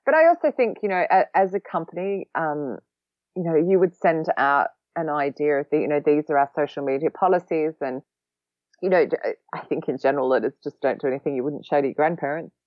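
A woman with an Australian accent, a podcast guest talking casually, quick-witted and a bit sarcastic. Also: deep voice, dry recording, lo-fi sound. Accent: Australian, long lived in London. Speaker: podcast guest, social recruiting trainer.

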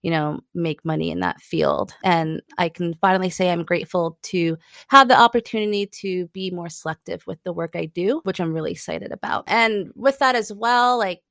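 A woman's voice: lowish voice, expressive, moderate pace, a bit bright, dry recording, studio quality. A young adult woman, a climbing guide, chatting casually in an interview, often laughing and saying "like".

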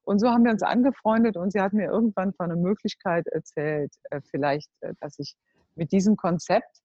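A woman in her forties from Germany telling her life story as a guest, earnest and halting, often searching for words. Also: lowish voice, no echo. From Germany (Cologne). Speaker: entrepreneur, guest. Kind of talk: personal life story in a group talk. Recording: dull, dry close recording.

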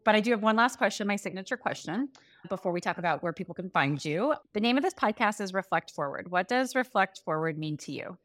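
A woman in her forties talks as a podcast interviewer, casual and conversational. Her voice sits at an average pitch. The sound is dry and free of room echo. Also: animated, quick pace, a bit bright.

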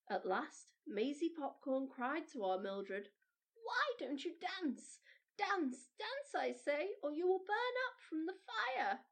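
A woman hosting a children's storytime, narrating a storybook in an expressive, animated way. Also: high-pitched. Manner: animated, addressing children directly.

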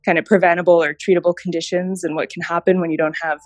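A woman in her thirties, raised in Japan, speaking as an interview guest and reflectively recounting how she came to work in healthcare, with thoughtful pauses. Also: fairly low pitch, flat delivery, dry recording. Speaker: guest, healthcare innovation director. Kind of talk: interview conversation.

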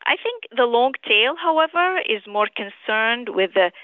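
A middle-aged woman from Syria giving measured, articulate, formally phrased answers as an author and physician in an interview over the telephone. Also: on the high side, animated, dry recording. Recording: tinny narrow phone line.

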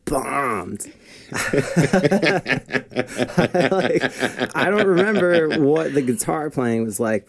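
Young guy chatting on a podcast, lowish voice, laughs a lot at himself. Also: creaky. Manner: laughs often, self-deprecating. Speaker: guest musician. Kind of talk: casual podcast chat.

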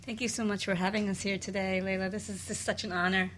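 A woman's voice: mid-range voice, flat delivery, quick pace, a bit bright, rough recording. A woman co-hosting a radio talk show, reading prepared links and chatting with the host. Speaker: guest co-host. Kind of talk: semi-scripted radio co-hosting. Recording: clearly audible ambient background noise.

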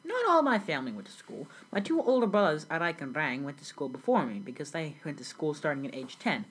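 A girl playing a husky-voiced immigrant storyteller. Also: low-pitched, very animated, brisk, exaggerated voice. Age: young teen. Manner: earnest storytelling, read from a script. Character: grown immigrant recalling a South Sudan childhood. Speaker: student playing the interviewee. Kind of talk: school project role-play interview.

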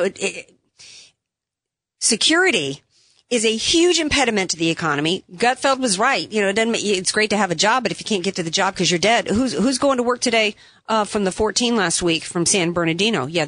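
A woman hosting a political talk radio show, delivering an opinionated, emphatic monologue with sarcastic asides while her voice is going hoarse. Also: medium pitch, fairly bright tone, dry recording, studio quality. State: losing her voice, has a cough drop in.

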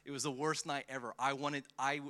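A man's voice: mid-range voice, level tone, a little fast, sharp tone. A man in his thirties preaching as a visiting pastor, telling self-deprecating stories with jokes, fillers and restarts. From born in the Philippines, raised in Australia. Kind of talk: guest sermon, personal storytelling.

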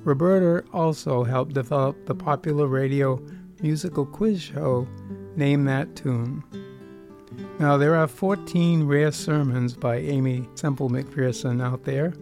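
A man hosting an old-time radio program, giving an informal narrated introduction. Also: mid-range voice. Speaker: radio show host. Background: plucked guitar music.

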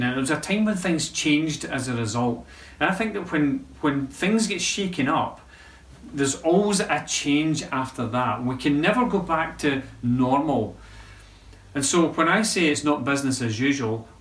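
A man preaching a sermon as pastor of a local church, conversational and halting, often restarting his sentences. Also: on the high side. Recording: echoey room from a distance.

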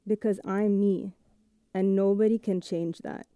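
Mellow-voiced woman with a blended accent. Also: medium pitch, fairly even intonation, clean tone, no room sound, clean studio sound. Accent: blend of British and American English with Egyptian and Italian touches. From Egypt. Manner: measured, reflective narration. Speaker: audio journalist. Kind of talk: scripted first-person radio essay.

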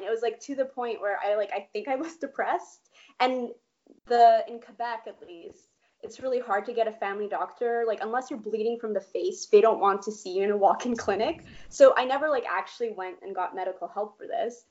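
A woman in her thirties, born in Poland, telling her career story in an interview in a chatty, lively way with casual swearing and frequent laughs. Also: mid-range voice, fairly quick, highs cut off. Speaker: guest animator.

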